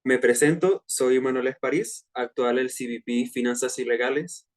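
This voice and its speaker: A man in his twenties with a Venezuelan accent, presenting a personal finance workshop to peers in a relaxed, chatty way with frequent hesitations. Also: mid-range voice, normal speed. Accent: Venezuelan Spanish. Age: 20s. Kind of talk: casual online presentation to peers.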